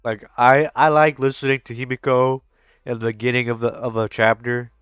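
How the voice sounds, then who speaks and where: A man's voice: medium pitch, level tone, normal speed, a bit bright, clean tone, no room sound, clean studio sound, faint music behind. A young adult man giving casual, slangy reaction commentary on a game, with frequent swearing. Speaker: streamer reacting to a game story.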